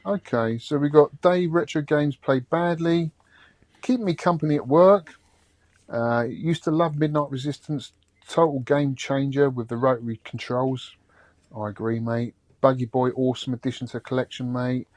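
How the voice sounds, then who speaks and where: A British man co-hosting a podcast, relaxed and easygoing as he chats and reads out listener comments. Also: medium pitch, moderate pace, no room sound. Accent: British English. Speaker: podcast co-host. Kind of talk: casual chat, reading listener comments.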